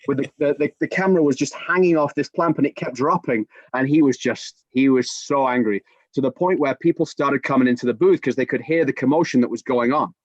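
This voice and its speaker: Brief: man, forties, soccer commentator, animated storyteller, dry room, muffled webcam sound. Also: medium pitch, fairly quick, no room sound. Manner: animated anecdote telling with many restarts. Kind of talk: casual storytelling on a video-call podcast. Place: home room with bookshelves. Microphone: video call webcam. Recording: dull, dry video-call audio.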